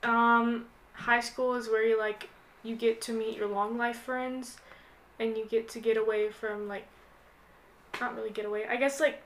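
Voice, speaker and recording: A woman's voice: fairly high pitch, level tone, normal speed, fairly bright tone. A young American woman in her late teens, chatting casually as a podcast guest in a hesitant, rambling way with lots of "like". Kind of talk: casual chat.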